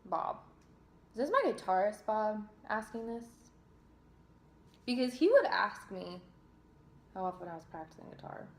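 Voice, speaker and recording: A young woman from New Jersey chats through viewer questions in a relaxed, self-deprecating way, often restarting and trailing off. Her voice sits at a middling pitch, and the room gives it a noticeable echo. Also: measured pace, pauses often. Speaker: singer and vlogger. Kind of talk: casual Q&A reading viewer questions. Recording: roomy echo.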